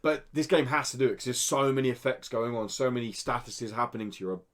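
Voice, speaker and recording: A man's voice: mid-range voice, fairly even intonation, fast talker. A man co-hosting a podcast, chatting casually and enthusiastically about games in a rambling way. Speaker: podcast co-host. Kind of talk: casual gaming podcast chat.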